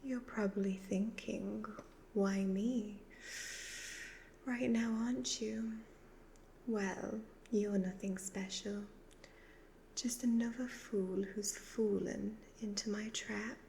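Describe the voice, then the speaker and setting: Woman doing an evil seductive witch for asmr, half whispering, creaky n really slow, gloating. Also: medium pitch, steady tone, mellow. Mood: gloating, mocking. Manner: slow, deliberate, hypnotic, condescending. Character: evil ageless witch, youthful-looking, gloating, seductive, predatory. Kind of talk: soft-spoken ASMR roleplay. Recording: faint background noise.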